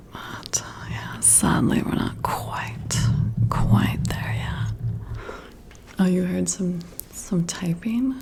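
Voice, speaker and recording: A woman playing a friendly, competitive female boss working late, in a soft breathy whisper, hushed and gently teasing in an ASMR roleplay. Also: on the low side, very slow, pauses often, exaggerated voice. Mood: musing, casual.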